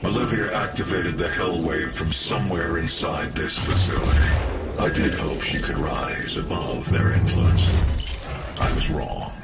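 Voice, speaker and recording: A man playing a calm, calculating research director in a video game, gravelly and measured, giving instructions with grave authority. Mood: grave, regretful. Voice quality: deep, gravelly. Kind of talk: video game cutscene acting. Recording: echoing, loud music behind.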